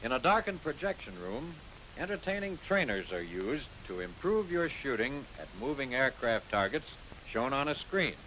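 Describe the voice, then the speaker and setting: A man's voice: very animated, moderate pace, pushed and bright, poor audio. An American man reading scripted narration for a 1940s military training film, instructing the trainee directly. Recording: hissy 1940s film soundtrack, rumbling noise.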